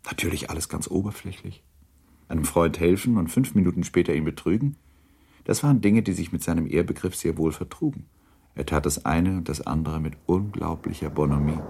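A man narrating a literary radio drama in a measured storytelling manner. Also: low voice, dark tone, slightly rough.

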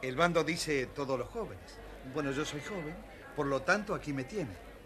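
A man's voice: a little high, normal speed, a bit bright, lightly acted. A man playing a poor, good-hearted young shepherd, earnest and confident, in a children's story.

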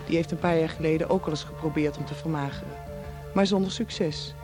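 A man's voice: a little high, steady tone, brisk, over music. A man reading scripted narration like a storyteller for a programme.

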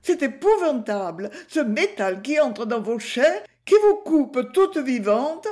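A woman's voice: a little high, big pitch swings, unhurried, a little mellow, pitched above the performer's own voice. A man voicing a frightened, plump woman butcher who dramatically retells being threatened with a knife. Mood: shaken, dramatic. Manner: breathless, overdramatic retelling.

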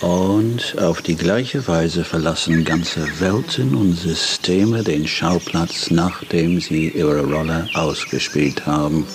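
Very deep, slow English gentleman. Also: background noise. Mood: solemn, contemplative. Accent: light English. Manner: measured, reflective. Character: contemplative English gentleman, solemn friend of a philosopher. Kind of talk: German radio drama acting. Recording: faint birdsong and garden ambience. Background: birdsong, insects.

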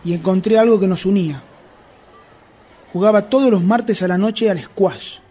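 High-voiced obsessive, jealous man played by an actor. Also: dark tone. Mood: brooding, confessional. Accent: Rioplatense Argentine Spanish. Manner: brooding, deliberate, introspective. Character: obsessive jealous man confessing his secret pursuit of a rival. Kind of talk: radio drama narration and dialogue.

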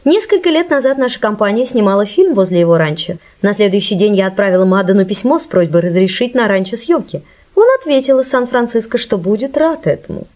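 A woman's voice: medium pitch, animated, fast, a little mellow, natural voice. An actress playing a self-possessed young woman telling a story in a radio play. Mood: wry. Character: self-possessed young woman from the film business recounting a mystery.

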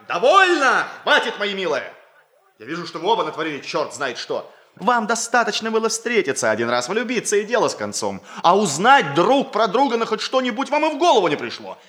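A brash young student bossing and teasing his friends in an old radio comedy. His bright, high voice swings widely as he scolds, in a roomy studio sound. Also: huge pitch swings, brisk, exaggerated voice. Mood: mocking, commanding. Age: young adult. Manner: emphatic, scolding, exclamatory. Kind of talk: 1960s radio comedy acting.

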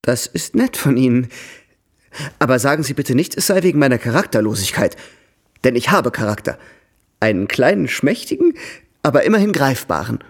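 A man's voice: medium pitch, very animated, normal speed. A man in a radio drama playing a cheerful, self-mocking young suitor with a witty, eloquent way of talking. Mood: pleading, playful.

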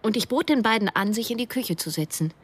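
Probing young woman, slightly husky. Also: on the high side, lively intonation, fast talker. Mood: insistent, wry. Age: young adult. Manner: probing, direct, wry. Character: young woman narrator, probing, insistent, wry. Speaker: first-person narrator. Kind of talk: radio drama narration and dialogue.

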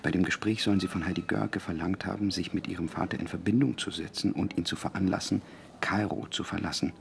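A man's voice: low voice, fairly even intonation, fast talker, gravelly, slight background noise. An actor playing a dry, worldly journalist in a radio drama, muttering as he reads newspaper reports aloud.

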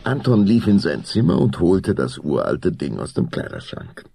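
Male storyteller, very deep, hugely varied intonation, suspenseful, theatrical, children's audio drama, faint hiss. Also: wildly swooping pitch, normal speed, gravelly, dark tone, breathy edge, dry recording, studio quality. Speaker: narrator. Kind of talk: dramatic scripted narration of children's horror story.

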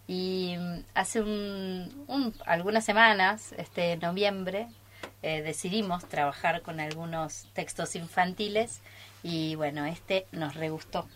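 A woman's voice: fairly low pitch, fairly even intonation, slow, fairly bright tone, slight background noise. A chatty woman with an Argentine accent, casually presenting her radio theatre troupe's work in a studio. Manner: chatty, joking.

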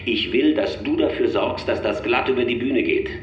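A man's voice: mid-range voice, moderate pace. A man playing a brusque, ruthless football club boss in an audio drama, curt and commanding over a tapped telephone. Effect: wiretapped telephone filter. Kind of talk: German audio drama acting. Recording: muffled, echoey phone recording, faint noise.

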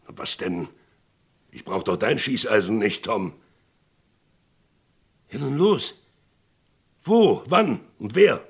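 Gravelly, animated Wild West sheriff. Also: male voice, mid-range voice, slow, long pauses, full character voice. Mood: alarmed, brisk. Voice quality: gravelly, husky. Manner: blustering, swings from hearty to hushed. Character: boisterous, gruff Wild West small-town sheriff, loyal friend. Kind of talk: German radio crime drama acting.

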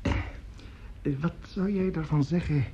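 An actor playing an excitable, coarse opera conductor in a radio play, effusive and grumbling, stammering and swearing as he talks. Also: a little high, slow pace, slight background noise. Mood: enthusiastic. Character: excitable, coarse, opinionated male opera conductor at his piano. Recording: dull old radio recording, piano music.

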